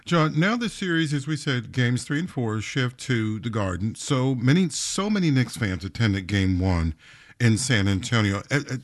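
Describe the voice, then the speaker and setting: A man hosting a morning radio show, asking eager questions and jumping in with quick interjections. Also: mid-range voice, animated. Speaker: radio host. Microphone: studio mic.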